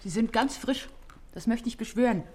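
A woman's voice: on the high side, moderate pace, mellow, slight background noise, close to an everyday voice. An actress in a German radio play as a playful, romantic young female student, girlish and dreamy. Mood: playful, eager.

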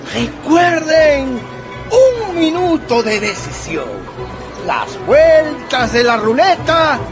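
A showy casino croupier for a radio drama. His voice is very high and swings widely as he stretches out his calls to the gamblers. Loud music and a crowd surround him. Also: exaggerated voice. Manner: theatrical drawn-out calls. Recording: music and busy crowd behind the voice.

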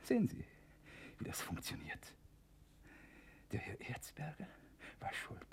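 An actor playing a bitter, resigned middle-aged professor in prison in a German radio play, measured and halting, with dry sarcasm. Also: male voice, on the high side, wildly swooping pitch, husky, in a whisper, full character voice. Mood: bitter, sardonic.

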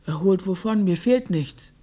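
A woman's voice: fairly low pitch, lively intonation, a little slow, noisy background. An actress playing a stubborn, distrustful old farm woman who answers curtly and defiantly in a radio drama. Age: older.

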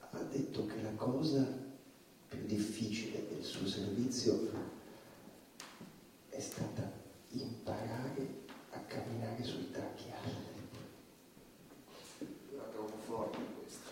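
A man's voice: on the low side, mellow. A male stage actor playing a troubled, rambling, defiant stand-up comedian who stammers and repeats his phrases in a theatrical monologue. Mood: bitter, wry. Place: theatre stage, indoors, recorded from afar. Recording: distant, echoey stage recording with faint noise.

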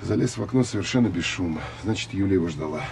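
A tough, bitter counterintelligence field officer in a radio drama. His voice sits fairly low, and he speaks in terse, blunt, colloquial phrases. There is some noise on the archival recording. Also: animated, fast talker, vocal fry, a little mellow. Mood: reporting firmly. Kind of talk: Russian radio drama acting.

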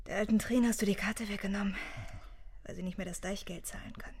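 An actress in a German radio play as an earnest young village woman, devoted but worried, with a husky, breathy voice. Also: medium pitch, steady tone, a little fast, a little mellow, natural voice. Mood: gently reproachful.

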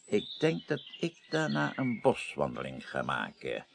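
A man's voice: lowish voice, wildly swooping pitch, unhurried, gravelly, exaggerated voice. An actor playing a genial, courteous older male court president in a 1980s Dutch radio drama. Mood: genial, relaxed.

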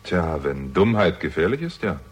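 Husky, low-voiced sardonic investigator. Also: male voice, sing-song, moderate pace, exaggerated voice. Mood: dry, sardonic. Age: young adult. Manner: dry, ironic, probing. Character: sardonic younger man, shrewd amateur investigator. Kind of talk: German radio crime drama acting.

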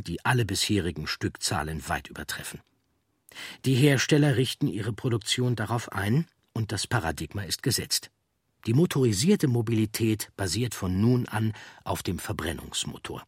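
Husky low-pitched male documentary narrator. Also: dry recording, studio quality.

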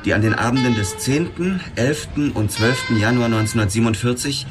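An actor playing a sober, dogged police detective in a crime radio drama, terse and matter-of-fact. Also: male voice, mid-range voice, a little fast, lightly acted. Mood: brisk, businesslike. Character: hard-boiled male police detective narrating his case, dogged and sober. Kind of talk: German radio drama, first-person crime narration. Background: music.

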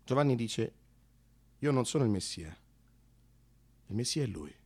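A man performing a theatrical radio monologue, conversational and halting, repeating phrases and swinging from hushed to excited. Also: mid-range voice, slightly rough. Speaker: solo narrator-actor.

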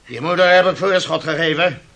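An actor in a Dutch radio play, playing a haughty, hard-nosed shipowner who lectures and scolds indignantly. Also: male voice, on the high side, brisk, sharp tone. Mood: lecturing.

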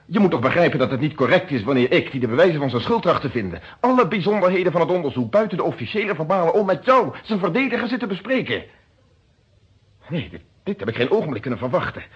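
An actor in a Dutch radio detective drama playing a weary, principled police inspector with an earnest, conversational delivery. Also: male voice, fairly high pitch, big pitch swings, fast talker, breathy edge, full character voice. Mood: surprised, reproachful. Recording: muffled old radio play recording.

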